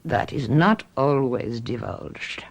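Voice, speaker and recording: Coven oracle for radio mystery: slow, husky, solemn and ominous. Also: female voice, extremely low pitch, expressive, full character voice. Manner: solemn, formal, oracular. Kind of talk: old-time radio mystery acting.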